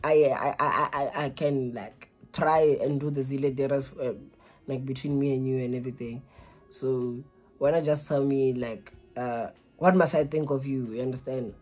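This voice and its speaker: Young guy chatting on a call, stumbles and repeats himself, pleading, husky voice, faint music. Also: mid-range voice, steady tone, normal speed, darkish tone. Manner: hesitant, repetitive, pleading. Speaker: vlogger making a prank call. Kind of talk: casual phone conversation. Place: small room indoors.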